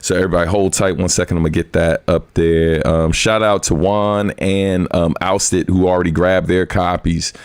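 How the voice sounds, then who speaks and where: A man's voice: sits low, fairly even intonation, moderate pace, hardly any pauses, smooth, dry recording. A man hosting a live stream, chatting in a laid-back, slangy way. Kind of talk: casual live stream chat.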